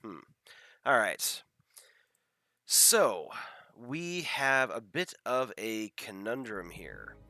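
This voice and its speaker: A man's voice: on the low side, big pitch swings, very slow, long pauses, sharp tone, dry recording. A man hosting a live game stream, chatting casually and self-deprecatingly to viewers through a headset, congested from a head cold.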